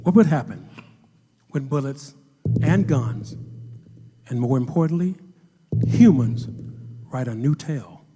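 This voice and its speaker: Mellow, grave news narrator played by a man. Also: medium pitch, expressive, measured pace, pauses often, breathy edge, big-room sound, soft background music. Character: grave male news narrator telling a tragic tale. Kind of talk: scripted radio drama narration.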